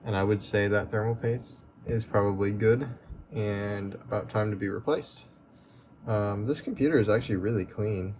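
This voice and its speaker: A man hosting a relaxed, chatty repair walkthrough, his voice going a bit hoarse as he works. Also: fairly low pitch, moderate pace, slight background noise. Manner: relaxed, chatty, with many fillers. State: losing his voice. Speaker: tech repair video host.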